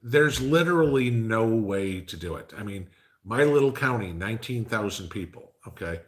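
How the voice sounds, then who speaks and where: Rambling low-voiced man reading chat. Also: lively intonation, moderate pace. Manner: rambling, conversational, frequent uh fillers. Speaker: livestream host reading chat. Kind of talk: casual live-stream chat.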